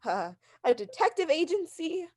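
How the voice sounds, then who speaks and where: A woman's voice: very high voice, big pitch swings, normal speed, mellow. An actress playing a neurotic, bedridden woman who is agitated, pleading and self-pitying, in a 1940s radio thriller style. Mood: anxious, fretful. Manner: agitated, pleading, breaking into outbursts.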